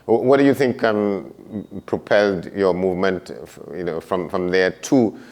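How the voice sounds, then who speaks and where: A man's voice: deep voice, normal speed, a little mellow. A man hosting an interview, asking long questions with many stammered repetitions. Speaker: interview host.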